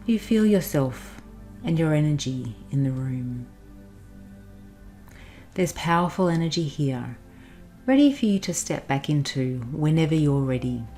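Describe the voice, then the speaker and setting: A woman narrating a guided meditation in a soothing, instructional manner with pauses. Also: sits low. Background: soft background music.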